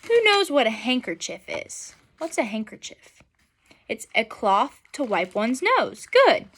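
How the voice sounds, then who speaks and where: A woman's voice: on the high side, big pitch swings, measured pace, a bit bright. A young adult woman reading a picture book aloud expressively and putting questions to children in an instructional way. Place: small furnished room at home, indoors.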